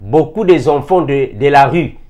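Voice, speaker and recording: A man's voice: a little high, animated, a little slow, dark tone. A man from Africa preaches to fellow believers, emphatic and repetitive, asking rhetorical questions. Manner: emphatic, rhetorical questions, repeats key phrases. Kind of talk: impassioned sermon addressed to fellow believers.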